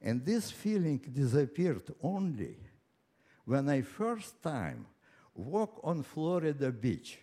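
A man of ninety-nine from Ukraine with a Russian accent, telling his own story live in a halting, deliberate way with repeated words and pauses. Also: fairly high pitch, big pitch swings, mellow. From Ukraine, later Moscow. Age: 99, nearly one hundred. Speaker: personal storyteller. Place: live stage before an audience.

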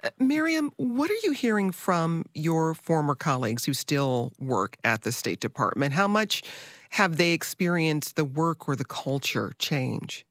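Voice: medium pitch, animated, a little slow, a bit bright, no room sound. A moderator with a dry, close sound hosts a panel discussion. The delivery is that of a broadcast interviewer, steering between guests with questions.

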